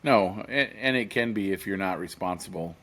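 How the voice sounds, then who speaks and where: A man's voice: low-pitched, moderate pace, a bit bright. A man of fifty in casual podcast chat, rambling with many fillers and restarts, earnest and matter-of-fact. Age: 50s. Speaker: podcast co-host.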